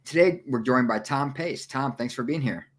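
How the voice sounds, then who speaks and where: A man hosting an interview podcast, asking questions in a quick, friendly way. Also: mid-range voice. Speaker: podcast host.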